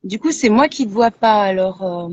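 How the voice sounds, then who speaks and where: A woman's voice: medium pitch, lively intonation, moderate pace, darkish tone, lo-fi sound. A woman guest artist on a live-stream interview, friendly as she sorts out a connection problem. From France and Mali.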